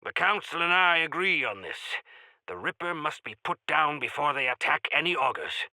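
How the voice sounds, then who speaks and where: A man's voice: fairly high pitch, animated, moderate pace, bright, forceful tone, dry recording, exaggerated voice, low-quality recording. An actor voicing a genial, pompous male quest-giver in a video game, speaking in grandiloquent, courtly phrases with wry asides. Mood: grand, appreciative. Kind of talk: theatrical video game character acting.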